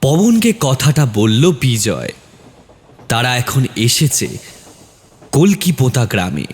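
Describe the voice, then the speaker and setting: Male narrator for horror audio tales, mid-range pitch, heavy lingering reverb on the voice. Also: sing-song, slow pace, darkish tone. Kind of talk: scripted horror story narration. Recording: long reverb on the voice.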